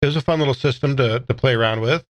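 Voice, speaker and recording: A man's voice: medium pitch, very fast. A man chatting casually on a podcast, recorded on a slightly dull microphone. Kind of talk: casual chat.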